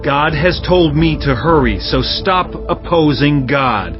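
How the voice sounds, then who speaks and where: A man's voice: a little high, expressive, slow pace. A man playing a proud Egyptian king, giving an emphatic, declamatory warning in a dramatized audiobook. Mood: stern, threatening. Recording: muffled, music behind.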